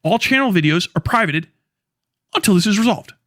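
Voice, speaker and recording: A man's voice: high voice, expressive, brisk, pushed and bright, dry recording, clean studio sound. A man talking in a video clip, partly reading aloud.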